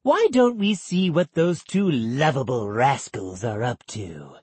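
A sly wooden dummy host, as a reader puts him on, teasing and mocking as he leads into the next scene of an audiobook. The voice swoops wildly up and down, and the words come slow and drawn out. A slightly husky, dry, close sound. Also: mid-range voice, sing-song, a little mellow, no room sound, heavily acted. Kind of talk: audiobook story intro.

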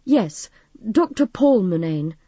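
Anxious, courteous young man played by a female narrator, earnest and mellow, storybook reading. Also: mid-range voice, big pitch swings, takes it slowly, slightly rough, dry recording, lo-fi sound, full character voice. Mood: hesitant, polite. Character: worried, polite young man with a sick father.

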